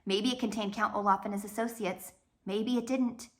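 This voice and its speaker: A woman reading a children's novel aloud as a storyteller, dramatic, with swells and hushed drops. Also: mid-range voice, fairly even intonation, moderate pace. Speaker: storybook reader. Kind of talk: expressive read-aloud narration of a children's novel.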